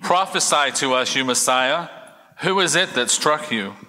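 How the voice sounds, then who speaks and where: A man reading the part of an accusing high priest in a dramatized scripture reading. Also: expressive, a little slow, pushed and bright, heavily acted. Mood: mocking. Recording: noisy, long church reverb.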